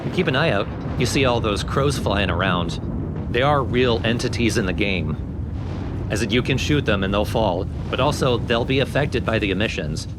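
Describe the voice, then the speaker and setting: A man's voice: lively intonation, normal speed. A man giving casual, informal gameplay commentary, explaining things as the host of a let's play. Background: game sound effects and rumble.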